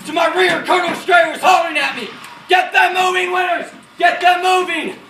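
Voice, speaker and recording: A man's voice: very high voice, quick pace, creaky, sharp tone, raised voice, some chatter behind, exaggerated voice. A man playing an American Second World War paratrooper officer, recalling battle in an earnest, declamatory stage monologue. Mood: urgent, shouting. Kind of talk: rehearsed dramatic war monologue. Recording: distant echoey room, faint noise.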